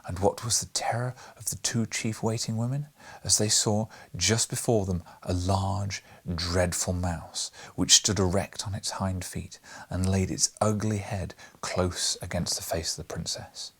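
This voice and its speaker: A male audiobook narrator with a fairly low, husky voice. He reads a storybook in a measured, dramatic way. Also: steady tone.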